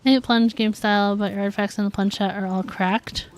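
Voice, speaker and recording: A woman's voice: mid-range voice, fairly even intonation, brisk. A woman streaming a game, chatting casually with her viewers in a filler-heavy way. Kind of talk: casual livestream chat while gaming.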